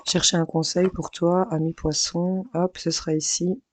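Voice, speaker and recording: A woman doing a chatty daily tarot reading for each star sign, hoarse from losing her voice, hesitant and addressing viewers as friends, with her mic set a bit farther away. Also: fairly low pitch, flat delivery, normal speed, slight background noise. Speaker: tarot card reader. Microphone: mic placed farther away.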